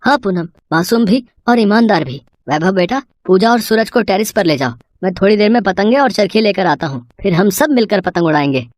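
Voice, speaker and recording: A woman's voice: mid-range voice, expressive, fairly quick, few pauses, a little mellow, no echo, natural voice. A woman voicing a kind, generous, well-off family man in a storybook tale. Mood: warm, agreeable.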